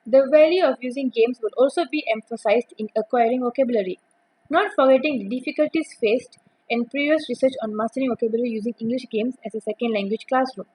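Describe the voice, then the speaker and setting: A young woman, reading a prepared academic presentation on teaching vocabulary, steady with occasional restarts on words. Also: a little high, fast, husky, poor audio. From Malaysia.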